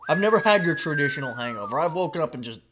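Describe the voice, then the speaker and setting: A man's voice: fairly high pitch, big pitch swings, racing, very noisy. A young man co-hosting a podcast, chatting loosely with plenty of teasing and swearing. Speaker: podcast co-host. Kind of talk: casual banter.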